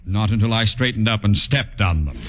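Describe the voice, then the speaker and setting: An actor playing an irascible, sarcastic middle-aged high school principal in an old-time radio comedy. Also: male voice, lively intonation, brisk, natural voice. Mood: dry sarcasm. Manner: testy, sarcastic, pompous. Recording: muffled, very noisy old radio recording with clicks.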